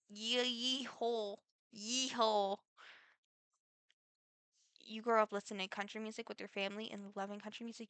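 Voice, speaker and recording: A woman's voice: fairly high pitch, bright, forceful tone, clear, resonant tone, dry recording. A teenage girl with a Midwestern accent, answering get-to-know-me questions in a chatty, rambling way full of fillers. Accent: Midwestern American. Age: teens. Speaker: vlogger.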